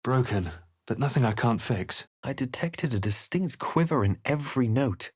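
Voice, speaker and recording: A composed, wry male adventurer from a fantasy video game, heard close and dry. His voice sits at a middling male pitch. He speaks in measured, literary phrases with a theatrical weight. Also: lively intonation, breathy edge, no room sound. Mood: wry, alert. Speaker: video game player-character voice actor. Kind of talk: dramatic fantasy game voice lines and narration.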